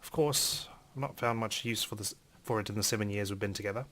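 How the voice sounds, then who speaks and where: Low-voiced man narrating dramatically. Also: quick pace. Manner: theatrical, in character as an indignant gentleman. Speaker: let's player reading a game aloud. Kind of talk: dramatic first-person story narration.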